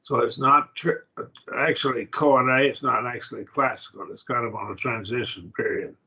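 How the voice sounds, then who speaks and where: A man teaching a college-level Bible study class in a plain, halting lecture with restarts and asides. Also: medium pitch, steady tone, bright, forceful tone, dull audio.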